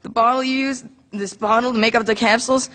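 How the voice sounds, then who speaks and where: A man's voice: extremely high pitch, fairly even intonation, quick pace, bright, forceful tone. An actor voicing a frightened, earnest boy who pleads with his boss in a live radio drama. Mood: pleading. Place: theater stage.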